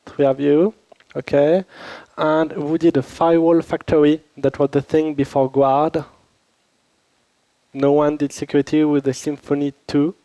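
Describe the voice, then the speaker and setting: A man's voice: fairly high pitch, little pitch movement, a little slow, warm tone, dry recording, low-quality recording. A man with a French accent, based in Switzerland, leading a conference workshop in a chatty, informal way and asking the room questions, slightly under the weather from a cold. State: recovering from a cold. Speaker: workshop instructor. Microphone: handheld or lapel microphone.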